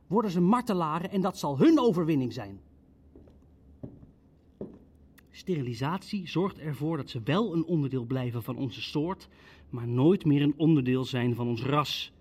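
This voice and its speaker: An actor playing an arrogant, legalistic Nazi official in a radio play, arguing formally and insistently. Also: male voice, a little high, animated, moderate pace, a little mellow, slightly rough. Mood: vehement, grave.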